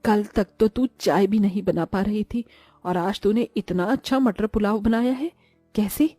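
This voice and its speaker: A woman's voice: medium pitch, normal speed, warm tone, no echo, soft background music, close to an everyday voice. A woman voicing a scolding middle-aged mother in a cartoon story, harsh and blaming. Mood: suspicious.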